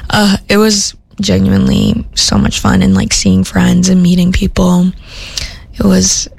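Young woman radio host with heavy vocal fry. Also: lowish voice, sing-song, unhurried, darkish tone, dry recording. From Minnesota. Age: young adult. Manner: rambling, upbeat, heavy with "like" and "um". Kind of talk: casual radio chat between songs. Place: radio studio.

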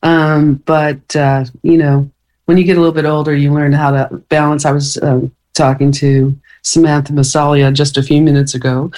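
A woman's voice: deep voice, little pitch movement, moderate pace, a little mellow. A woman guest on a podcast, talking loosely in a rambling way with many fillers and restarts, and laughing easily. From born in London, raised in Michigan. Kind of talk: casual interview.

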